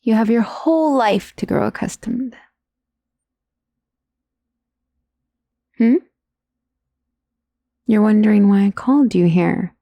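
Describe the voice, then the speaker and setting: A woman performs an ancient female vampire who dotes possessively on the listener in an ASMR roleplay. The voice is husky and breathy with a gravelly creak, often falling to a whisper. The delivery is genteel and gently teasing, recorded very dry and intimate. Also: mid-range voice, expressive, no room sound. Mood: teasing, fond. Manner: slow, tender, teasing, genteel endearments.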